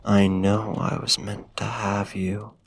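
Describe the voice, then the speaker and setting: An ancient male vampire, acted by a man, suave and predatory, speaks in a low voice with a gravelly fry. He draws out his formal, old-fashioned phrases very slowly, slipping toward breathy whispers in an intimate, menacing ASMR roleplay. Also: little pitch movement, full character voice. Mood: coaxing, hypnotic. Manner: formal, archaic phrasing, slow deliberate pauses, courtly endearments.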